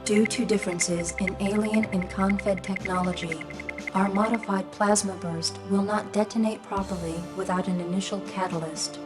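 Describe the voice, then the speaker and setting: A woman's voice: medium pitch, steady tone, normal speed, natural voice. Casting: actress as female military briefer, formal and businesslike, scripted game narration, music bed.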